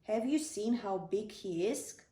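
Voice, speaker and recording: A woman's voice: medium pitch, animated, a little slow, dark tone, natural voice. A woman voicing a frightened, defeatist young man soldier in a children's storybook. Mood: fearful. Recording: echoey room, distant microphone.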